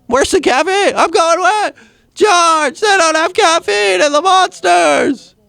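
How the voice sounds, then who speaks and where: A man's voice: slow, vocal fry, no echo, heavily acted. A man doing a shrieking, panicked young guy. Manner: shouting in a strained high falsetto.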